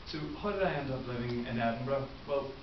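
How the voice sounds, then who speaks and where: A man's voice: medium pitch, expressive, fast talker. Northern Irish man giving a speech. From Northern Ireland. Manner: earnest, many ums and uhs. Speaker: club member giving a first speech. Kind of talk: prepared speech. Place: meeting room. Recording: roomy, distant, muffled with hiss.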